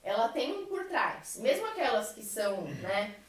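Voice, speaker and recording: Echoey, higher-voiced young Brazilian woman. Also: very animated, moderate pace. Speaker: member of a science theatre group. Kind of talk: casual podcast conversation. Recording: echoey room, distant from microphone.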